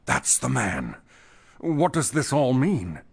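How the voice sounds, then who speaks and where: An actor playing a frightened, defensive company boss in a pulp thriller audio drama, with a nervous, hesitant manner. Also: male voice, medium pitch, huge pitch swings, slow pace, creaky, slightly rough, exaggerated voice. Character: frightened, shaken male chemical company boss under police questioning.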